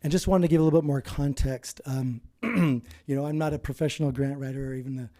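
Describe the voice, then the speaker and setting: A nervous, hoarse man from Utah, speaking earnestly as a landowner giving public comment at a meeting. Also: medium pitch, fairly even intonation, quick pace, warm tone.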